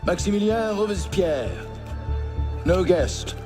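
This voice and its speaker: A man's voice: high-pitched, slow, music in the background. A man playing a curt, pompous doorman who announces guests formally in a video game. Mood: stern, officious. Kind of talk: video game cutscene acting.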